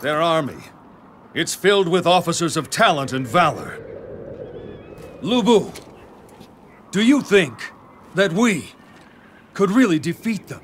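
Cautious adviser in a war game, earnest, slowish, higher voice. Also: male voice, very animated, fairly bright tone, a little hiss or hum, exaggerated voice. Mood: doubtful, worried. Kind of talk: dramatic game cutscene acting.

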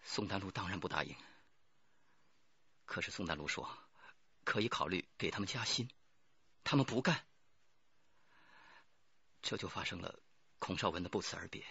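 An actor playing a defiant, cornered murder plotter under interrogation in a radio drama, speaking deliberately. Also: male voice, sits low, creaky, sharp tone, slightly rough, dry recording, highs cut off, heavily acted. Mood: confessing.

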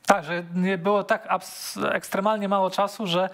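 A man's voice: high-pitched, flat delivery, normal speed, fairly bright tone. A man in his thirties talking football as a studio pundit on a headset mic, thinking aloud with many fillers and repeated words. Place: sports TV studio.

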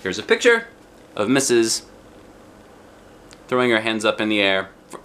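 A middle-aged man giving an expressive, playful read-aloud of a children's book. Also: on the low side, moderate pace. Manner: theatrical, playful emphasis. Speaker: storyteller reading a children's book. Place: small living room, indoors. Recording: faint background room noise.

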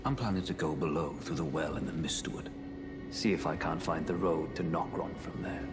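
An actor playing a gruff, plain-spoken half-wolf warrior in a video game, terse and businesslike. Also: male voice, extremely low pitch, fairly even intonation, moderate pace. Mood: brisk, friendly. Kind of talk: scripted video game character dialogue. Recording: loud music behind the voice. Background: music.

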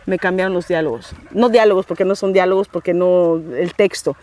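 A woman's voice: lowish voice, brisk, dry recording. A Mexican woman in her forties, a guest actress, talking passionately in a casual outdoor interview with a lapel mic. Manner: passionate, animated storytelling with many restarts. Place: outdoors on a grassy hillside.

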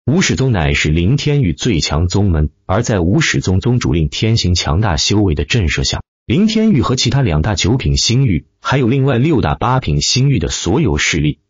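A man's voice: deep voice, sing-song, fairly quick, husky, no echo, dull audio. A man reading a dramatic scripted story as an audiobook narrator.

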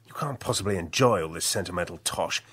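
A sneering young cad. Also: male voice, deep voice, expressive, fast, a bit bright, husky, exaggerated voice. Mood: scornful. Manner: drawling, contemptuous. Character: sneering young male cad, bored and scornful.

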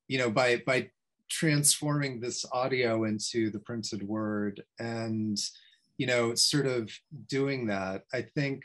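A man in his fifties giving an informal academic talk, speaking in a reflective, halting way with many pauses. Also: mid-range voice. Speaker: academic researcher, guest speaker. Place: small home study with bookshelves, video call. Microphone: computer mic on video call.

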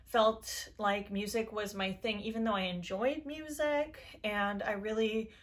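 A young woman with a low voice talking casually and earnestly to the camera from a decorated room at home, encouraging viewers to make art. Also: measured pace. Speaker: YouTube creator. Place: decorated bedroom indoors.

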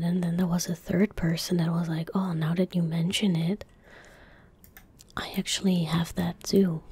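A young woman gives casual ASMR commentary while gaming, very close to the mic. Her voice is breathy and whispered, with a dark, relaxed tone. She speaks gently and slowly, with little rise or fall in pitch. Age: young adult. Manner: calm, gentle, unhurried. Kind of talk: soft-spoken ASMR, casual gameplay commentary. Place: small indoor room at a desk. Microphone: close desk microphone.

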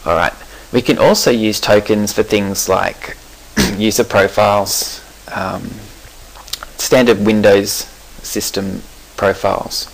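A man talking through a software settings screen in a relaxed, explanatory way, pausing with fillers and correcting himself. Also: fairly low pitch, creaky, low-quality recording. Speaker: software tutorial presenter.

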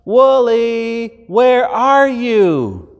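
A man voicing a loving shepherd who calls out for his lamb in drawn-out singsong, in a storybook reading. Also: very animated, exaggerated voice. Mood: searching, calling. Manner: drawn-out, high singsong calling. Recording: long hall reverb.

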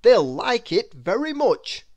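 A confident man who writes children's books, as a narrator acts him out. He is encouraging and deliberate, with an emphatic, very lively storybook delivery. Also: medium pitch, pitched above the performer's own voice, heavily acted.

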